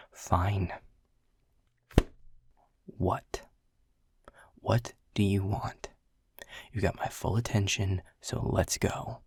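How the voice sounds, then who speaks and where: A man voicing a gravelly, gruff middle-manager boss. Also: sits low, a little mellow, no room sound, exaggerated voice. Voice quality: gravelly, husky. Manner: blunt, sarcastic, swears a lot. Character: world-weary male middle manager, gruff and prickly. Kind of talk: second-person audio roleplay.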